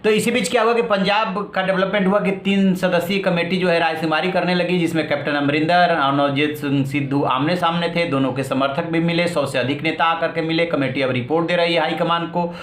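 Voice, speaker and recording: A man's voice: a little high, steady tone, fairly quick, hardly any pauses, a bit bright. A man anchoring the news, explaining politics in a conversational way with rhetorical questions. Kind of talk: news commentary, explaining politics in a semi-scripted way. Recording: roomy, echoing voice.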